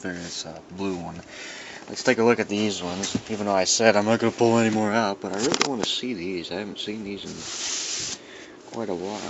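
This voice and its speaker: A man with a fairly low voice talks in a loose, unscripted way, as if half to himself while showing off a collection. His delivery rambles and muses. The recording is dull and lo-fi, with clear noise behind him. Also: brisk. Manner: rambling, musing to himself as he handles things. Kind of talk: casual unscripted show-and-tell. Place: indoors in a dimly lit room.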